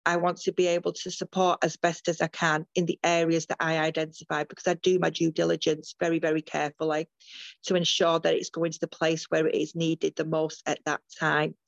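Low, even-toned older British midwife. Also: female voice, brisk, creaky, dry recording, lo-fi sound. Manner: earnest, many restarts and ums. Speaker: guest midwife. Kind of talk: interview over a call.

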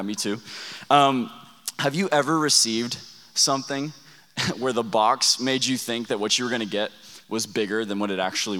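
A young male pastor leads an energetic youth sermon, shouting out questions and calling for responses from the crowd. His voice is bright and forceful, with a slight wear from losing it. The sound rings with long reverb in a large hall. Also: mid-range voice. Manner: hyped, calls for crowd responses.

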